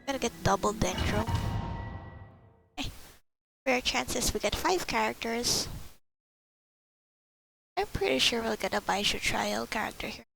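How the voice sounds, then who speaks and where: Woman gamer, fairly high voice, vocal fry, casual playful muttering, slangy, game music behind. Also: measured pace, pauses often, a bit bright, low-quality recording. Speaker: gamer, streamer. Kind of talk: casual gameplay commentary. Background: video game music.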